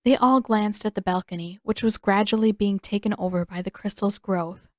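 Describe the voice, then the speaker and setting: Lady reading fanfic out loud, steady narration, mid pitch, dead dry mic. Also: moderate pace, dry recording. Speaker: podfic reader. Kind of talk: reading fan fiction aloud.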